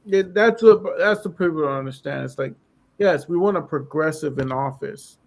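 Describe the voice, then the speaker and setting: A man in casual political chat on a podcast, losing his voice and clearing his throat as he talks in a rambling way. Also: on the high side, moderate pace. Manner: rambling, lots of fillers. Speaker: podcast co-host.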